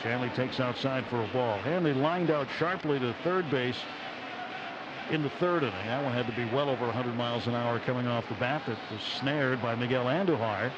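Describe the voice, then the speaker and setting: A man calling baseball play-by-play on the radio in a measured, descriptive way, pausing between short phrases. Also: mid-range voice, heavy background noise. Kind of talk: live radio sports commentary. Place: outdoor ballpark broadcast booth. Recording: dull radio broadcast, loud stadium ambience. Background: loud outdoor ballpark ambience.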